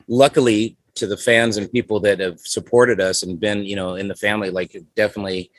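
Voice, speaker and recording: A man's voice: fairly low pitch, steady tone, brisk, no echo. Rambling, laid-back man, dry close mic. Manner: rambling, many "you know" fillers. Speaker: guest musician. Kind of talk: casual interview chat.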